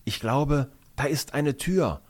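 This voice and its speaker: A man voicing a calm young schoolboy who speaks in a whisper. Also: medium pitch, slow pace, pitched above the performer's own voice.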